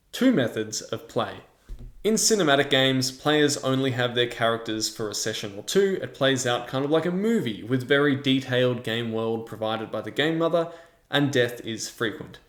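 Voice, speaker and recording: A man hosting a review in a measured, explanatory way, with semi-scripted narration. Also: medium pitch. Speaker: host of a tabletop game review channel. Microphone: large microphone in front of him. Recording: echoey, reverberant room.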